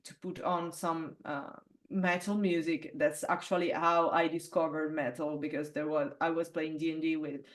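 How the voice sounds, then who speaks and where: A woman from Italy who sings metal, talking in a relaxed interview with a low alto voice. She speaks with many hesitant fillers and restarts. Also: normal speed. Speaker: metal singer, interview guest. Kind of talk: casual interview conversation.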